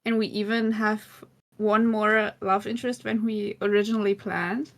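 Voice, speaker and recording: A woman's voice: mid-range voice, level tone, moderate pace. A woman game developer talking casually about the team's work in a group Q&A. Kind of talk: casual recollection in group chat.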